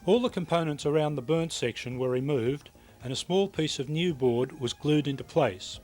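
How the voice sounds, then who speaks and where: A man's voice: on the high side, normal speed, a little mellow. A man reading scripted instructional narration for a technical training film in a precise, matter-of-fact way. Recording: music behind voice, VHS tape transfer.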